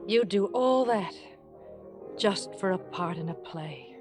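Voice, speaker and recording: A woman acting a highly strung, indignant playwright's wife in a vintage radio drama. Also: lively intonation, slow pace. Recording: distant old radio broadcast, loud music.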